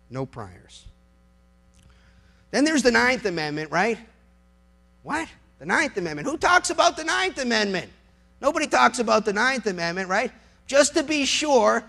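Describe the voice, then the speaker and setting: A man's voice: very high-pitched, gravelly, a bit bright. An American man, a lawyer, giving an impassioned public speech, emphatic and sardonic, using rhetorical questions and repeating phrases for effect.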